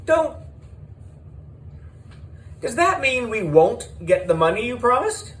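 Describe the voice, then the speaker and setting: Panicky school official played by a male reader, protesting in a raised, animated voice, children's story. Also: very high voice, slow, voice pushed up, exaggerated voice. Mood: panicked. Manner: flustered, protesting.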